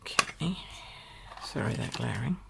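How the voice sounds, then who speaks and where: A woman from the UK, slightly croaky, demonstrating a papercraft project at her table in a chatty, think-aloud way. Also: extremely low pitch, lively intonation, unhurried, heavy background noise. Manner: chatty, thinks aloud with fillers and small apologies. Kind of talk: casual craft tutorial. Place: indoors at a craft table.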